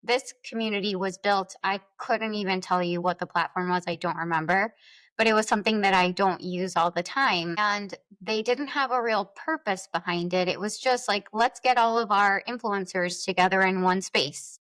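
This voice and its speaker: A woman talks as a podcast guest in a conversational, emphatic manner. Her voice sits at a middle pitch, and the sound is very dry with hardly any room to it. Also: moderate pace, fairly bright tone, dry recording, poor audio. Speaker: podcast guest, influencer marketer. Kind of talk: conversational anecdote and advice.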